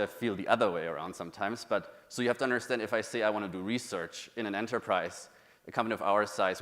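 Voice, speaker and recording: A man's voice: lowish voice, fairly bright tone. A man in his thirties with a German accent, giving a conversational conference talk, full of fillers and restarts. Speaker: conference speaker. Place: large conference hall. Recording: long hall reverb.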